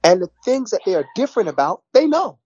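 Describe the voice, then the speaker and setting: A man's voice: high-pitched, very animated, fast talker, darkish tone, husky, no room sound, muffled recording. A middle-aged man, talking casually in a rambling way, repeating words and saying "you know" a lot. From New York. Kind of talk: casual conversation.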